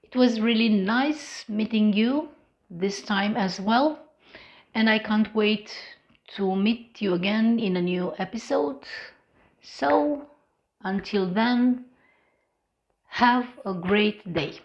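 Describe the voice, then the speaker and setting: Woman host, Romanian accent, slow and hesitant, slight vocal fry, dull lo-fi recording. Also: medium pitch. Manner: hesitant, many fillers and restarts. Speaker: English-learning channel host. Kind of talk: unscripted personal vlog talk.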